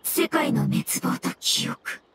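A woman's voice: slow pace, background noise, natural voice. A woman playing a small, polite floating mushroom spirit in an anime game, speaking in a formal, cryptic way. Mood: solemn. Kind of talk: Japanese anime game voice acting. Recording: loud music under the voice.

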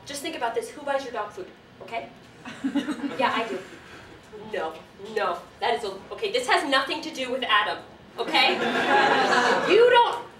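A woman acting as an irritable, blunt, hungover dog owner in a live comedy sketch. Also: high-pitched. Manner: exasperated, blunt, defensive. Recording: noisy echoey stage, distant, loud audience.

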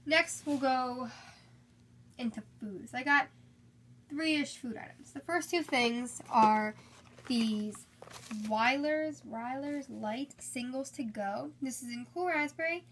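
A woman's voice: sits high, slow, fairly bright tone, a little hiss or hum. A young woman chatting casually through a shopping haul, slightly congested from a cold, filmed on a handheld phone at home. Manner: chatty, upbeat, with frequent fillers. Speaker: haul vlogger. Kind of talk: casual haul video chat. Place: indoors at home, filming items on a bed.

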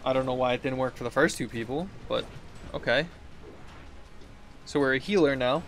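A man's voice: mid-range voice, normal speed. Man muttering game commentary over background noise. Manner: rambling, talking to himself, reading quest text aloud. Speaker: gaming video host.

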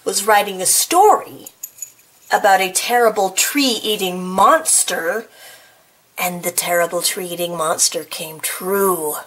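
A woman reading a children's picture book aloud in an expressive, playful way, with casual, chatty asides. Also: on the low side, slow, rough recording. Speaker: storybook reader.

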